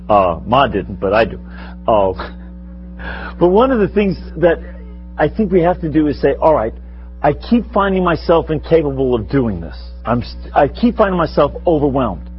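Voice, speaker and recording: Male speaker, 60s, rather high voice, conversational and self-deprecating, sounds like a dull room recording. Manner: conversational, rambling, self-deprecating, chuckles often. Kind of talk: informal spiritual teaching with audience questions. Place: meeting room with a live audience.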